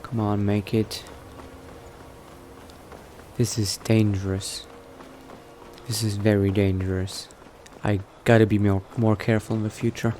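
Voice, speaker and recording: Brooding new vampire male gamer in the role: lowish pitch, very unhurried, hushed wary self-talk for game roleplay, noisy ambient backdrop. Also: flat delivery, natural voice. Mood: tense, urgent. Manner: hushed, halting self-talk with sighs and pauses. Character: cautious newly turned male vampire, brooding adventurer thinking aloud. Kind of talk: in-character video game roleplay monologue. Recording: noticeable background noise.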